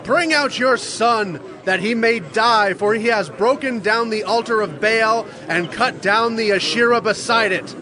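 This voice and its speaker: A man playing an angry townsman in a dramatized scripture reading, forceful and accusing. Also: high-pitched, unhurried, few pauses. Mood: angry demand. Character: angry townsman demanding a man's death.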